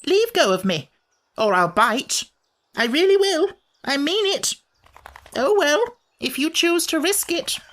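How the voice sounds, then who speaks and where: A man voicing a cranky, put-upon little furry wish-granting creature from a storybook, with a shrill voice that cracks when worked up. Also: big pitch swings, unhurried, bright, forceful tone, full character voice. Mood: threatening. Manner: indignant, complaining.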